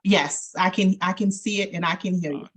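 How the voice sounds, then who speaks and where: A woman's voice: lowish voice, fairly even intonation, moderate pace, fairly bright tone, slightly rough. A woman hosting an online webinar, introducing the guest speaker in a friendly, slightly hesitant way. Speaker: webinar host. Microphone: video call.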